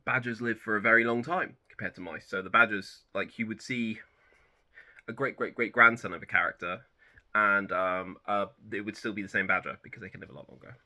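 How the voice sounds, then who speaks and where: Very bright-toned man in his thirties. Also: fairly low pitch, fairly quick. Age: 30s. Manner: relaxed and rambling, with frequent self-corrections. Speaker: book channel host. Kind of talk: casual personal chat to camera.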